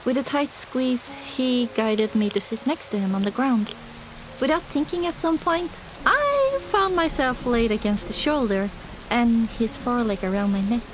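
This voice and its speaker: Expressive higher-pitched female audiobook narrator. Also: measured pace, noisy background. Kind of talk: scripted first-person fiction narration.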